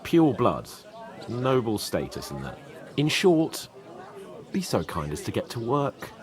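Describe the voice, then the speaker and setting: A man's voice: mid-range voice, huge pitch swings, takes it slowly. A man playing a haughty, spoilt young nobleman in a video game, pompous, sardonic and theatrical. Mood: pompous lecturing.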